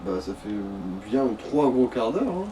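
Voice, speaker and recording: A man's voice: lowish voice, sing-song, takes it slowly, dark tone. A man chatting casually over gameplay as the player's companion. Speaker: let's play companion. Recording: distant mic with background noise.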